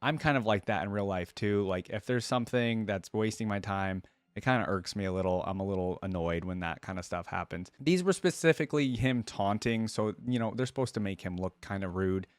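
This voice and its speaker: A man's voice: lowish voice, brisk, clear, resonant tone, dry recording. A man hosting a reaction video, talking casually and rambling informally as he muses, close to the microphone.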